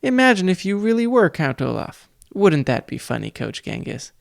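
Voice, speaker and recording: Clever storybook boy, earnest and pointed, played by an adult male reader, lightly raised pitch, dry close recording. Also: sing-song, moderate pace, creaky, dry recording, full character voice. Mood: forced joking. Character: earnest clever boy, orphan sibling, bluffing with forced cheer.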